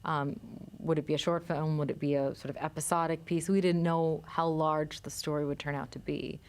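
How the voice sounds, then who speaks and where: A woman filmmaker, a guest in a studio interview, explaining her work in a measured way with frequent pauses and ums. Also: low voice, level tone, slight background noise.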